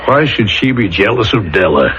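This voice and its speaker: An actor playing a worn-down, self-doubting middle-class murder suspect in a 1970s radio drama, agitated, halting and defensive. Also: male voice, on the low side, sing-song, fairly bright tone. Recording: noisy, muffled old radio recording.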